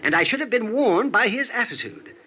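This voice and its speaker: Fussy, high-pitched flustered salesman. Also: very animated, brisk, slightly rough, exaggerated voice. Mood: prim, disapproving. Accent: American. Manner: prissy, hesitant, flustered stammering. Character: fussy, prim, flustered male insurance salesman. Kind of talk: vintage radio drama acting. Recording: muffled, noisy vintage radio recording.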